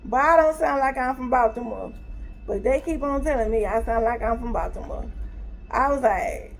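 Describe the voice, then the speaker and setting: A woman's voice: high voice, poor audio. A woman casually telling a story, acting out both sides of a conversation with laughter and exasperation. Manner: animated retelling, acts out both sides with quoted dialogue.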